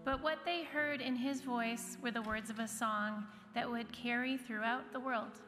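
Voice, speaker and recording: A woman's voice: fairly high pitch, steady tone, unhurried. A woman reading a children's storybook aloud as narrator in a large church space. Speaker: story reader. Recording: reverberant hall, music behind the voice. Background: soft instrumental music.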